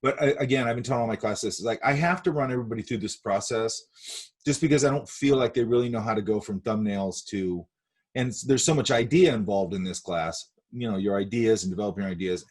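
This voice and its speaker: A man's voice: lowish voice, breathy edge. A man teaching an online illustration class, rambling with many fillers and restarts, sniffly from a cold, on a video call. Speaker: art instructor.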